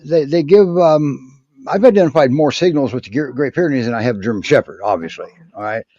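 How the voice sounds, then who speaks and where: A man co-hosting a live show, talking in halting bursts with many restarts. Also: mid-range voice, warm tone, dry recording. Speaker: co-host. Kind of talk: casual chat on a live show. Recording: dry muffled lo-fi sound.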